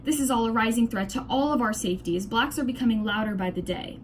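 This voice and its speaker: A woman's voice: on the high side, fast, slight background noise. A young woman reading a scripted news commentary aloud with scornful opinions. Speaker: presenter of a student-made 1920s-style news report.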